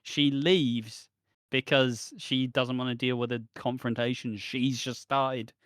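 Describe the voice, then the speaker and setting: A man's voice: medium pitch, steady tone, unhurried, a bit bright, no echo. British guy on a podcast, goes off on long opinionated tangents, restarts a lot, mid voice, super dry mic. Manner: rambling, opinionated, frequent restarts. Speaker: podcast co-host. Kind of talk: casual chat.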